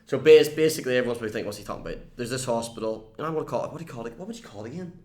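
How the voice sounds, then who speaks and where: Young Northern Irish guy, chatty guest, swears offhand, rapid delivery, roomy sound. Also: mid-range voice, lively intonation, a little mellow. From Northern Ireland. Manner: chatty, storytelling, swears casually. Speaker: YouTuber guest. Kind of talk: casual interview chat.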